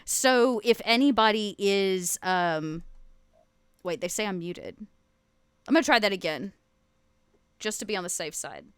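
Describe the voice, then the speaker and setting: A woman's voice: mid-range voice, lively intonation, measured pace, long pauses, smooth, no echo, clean studio sound. A woman chatting playfully as she makes announcements on a casual live stream. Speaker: cast member making announcements.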